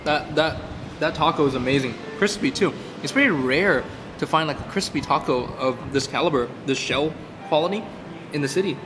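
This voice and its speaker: A man's voice: on the high side, moderate pace, long reverb tail, over music. A young man hosting a travel vlog, joking and upbeat, filming himself with a handheld camera in a cafe. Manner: joking, upbeat, self-deprecating. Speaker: vlog host. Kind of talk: casual vlog chat. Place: cafe and shops in a city.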